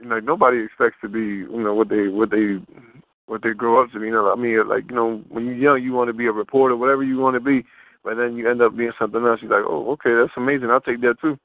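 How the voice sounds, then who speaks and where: A man's voice: mid-range voice, steady tone, fast talker, no room sound. A man giving a casual interview as a guest over the phone, talking informally with slang and rephrasing himself as he goes. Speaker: interview guest, fashion model. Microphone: telephone. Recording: muffled narrow phone line.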